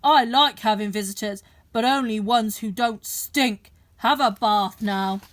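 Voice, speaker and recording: A woman voicing a big, loud, grumpy male giant in a read-aloud storybook, booming and chanting. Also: unhurried, lightly acted. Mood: grumbling.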